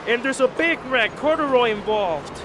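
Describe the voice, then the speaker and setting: A man commentating a sim race play-by-play. He sounds a little stuffy from being sick, and he gets excitable at restarts and wrecks. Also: extremely high pitch, normal speed, noisy background.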